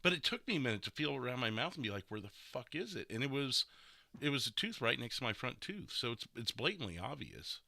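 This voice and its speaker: A man's voice: mid-range voice, sing-song, fast, gravelly, pushed and bright, no room sound. A man hosting a podcast in casual chat, with hesitant, rambling phrasing. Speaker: podcast host.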